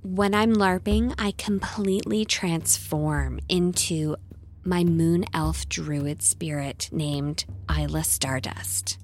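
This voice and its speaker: A woman's voice: low-pitched, steady tone, slight background noise. An actress playing an earthy, breezy homemaker mother in a mock reality-show interview, airy and confiding. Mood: dreamy. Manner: airy, drawn-out, giggly confiding.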